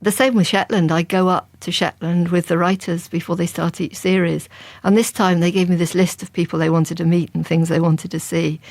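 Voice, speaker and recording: A woman's voice: on the low side, darkish tone, no room sound. A woman from England, a crime writer, answering interview questions in a reflective, conversational way. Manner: reflective and conversational, with frequent restarts. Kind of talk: interview guest.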